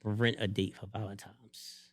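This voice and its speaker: A man co-hosting a podcast in casual chat, animated and joking, ranting and teasing his co-host. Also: fairly low pitch, measured pace, very noisy. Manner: animated, joking, rants and teases.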